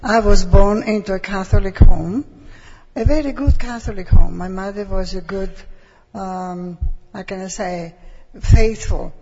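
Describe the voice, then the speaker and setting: A woman from Italy with an Italian accent, giving her personal testimony to a congregation and pausing often to find words. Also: medium pitch, dark tone, breathy edge. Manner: hesitant, searching for words. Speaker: preacher's wife giving testimony. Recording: dull sound with long hall reverb.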